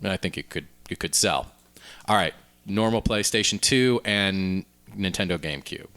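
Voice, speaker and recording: A man's voice: low voice, moderate pace, a bit bright. A man co-hosting a podcast, talking through ideas in a casual, conversational style. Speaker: podcast co-host.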